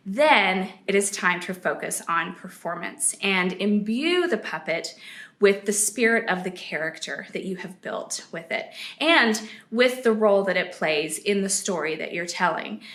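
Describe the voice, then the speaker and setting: A woman teacher giving a steady, clear explanation of puppet performance. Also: medium pitch, echoey room. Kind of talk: instructional explanation.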